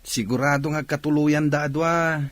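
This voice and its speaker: A man's voice: fairly high pitch, fairly even intonation, normal speed, no room sound, close to an everyday voice. Man acting eager young brother, dry close sound. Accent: Filipino. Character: eager, confident young village older brother, gossiping fondly. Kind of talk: radio comedy drama acting.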